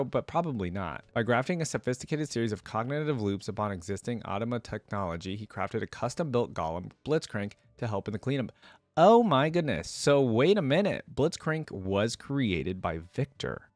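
A young man hosting a reaction video, reading lore aloud and adding casual, expressive commentary into a close desk microphone. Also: medium pitch, no echo. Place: indoor desk setup at home.

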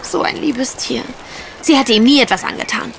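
A woman's voice: a little high, normal speed, vocal fry, a little hiss or hum. An actress playing a young housemaid in a radio play, simple, guileless and emotional in her earnestness. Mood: earnest, grieving. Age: young adult. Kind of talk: German radio play acting.

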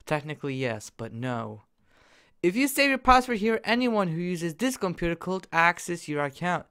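A young man talks over his gameplay from a desk setup, his voice gravelly and bright. His pitch swings widely, and he jumps between loud excited bursts and quiet mumbles. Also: normal speed. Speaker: gaming YouTuber. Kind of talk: casual gameplay commentary.

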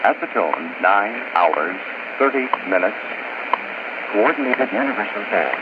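A man reading formal scripted time-station announcements in a measured, precise manner, heard over shortwave radio. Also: fairly low pitch, animated. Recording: noisy, muffled shortwave radio reception. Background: loud radio static.

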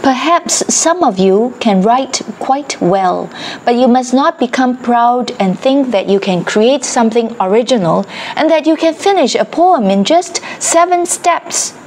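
A woman reading a ministry passage aloud in a slow, earnest, deliberate way. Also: a little high, animated, a little mellow, slight background noise. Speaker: reader of a ministry excerpt.

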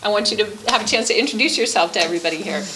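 A woman's voice: medium pitch, expressive, racing. A woman in her forties giving an explanatory presentation on a school program to a meeting. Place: school room at a committee meeting, indoors. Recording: echoey room, faint background noise.

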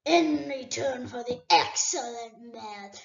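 A woman voicing a huge, cunning male dragon who drawls slowly and sneers. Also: on the high side, creaky, echoey room, dull audio. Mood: menacing. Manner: slow, drawn-out, sneering speech.

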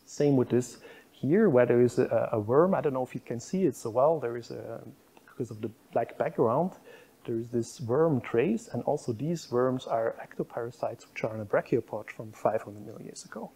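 Man lecturing, mellow husky voice. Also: medium pitch, lively intonation, normal speed, poor audio. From Belgium. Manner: explanatory, pointing at slides, frequent fillers. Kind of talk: university public lecture. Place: lecture room.

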